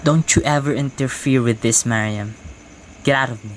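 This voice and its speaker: A man's voice: mid-range voice, normal speed, a little hiss or hum, lightly acted. A man voicing an abusive, jealous husband in a student radio play, harsh and accusing. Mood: furious. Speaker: student voice actor. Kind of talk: amateur radio play acting.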